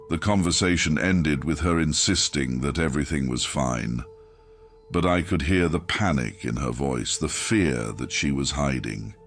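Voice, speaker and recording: Male narrator, extremely low pitch, grave and brooding, scripted horror audiobook over music. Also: a bit bright. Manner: grave, brooding, dramatic first-person telling.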